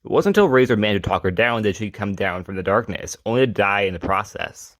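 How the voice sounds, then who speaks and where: A man's voice: fairly low pitch, animated, fast talker, a bit bright, no echo. A man hosting a retrospective video, reading informal scripted narration. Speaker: host of a character retrospective video series.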